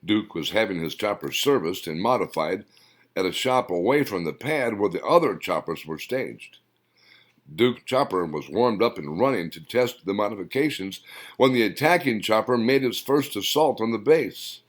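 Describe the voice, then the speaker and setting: A man reading his own story aloud as audiobook narration, in an expressive storytelling manner. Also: fairly low pitch, moderate pace.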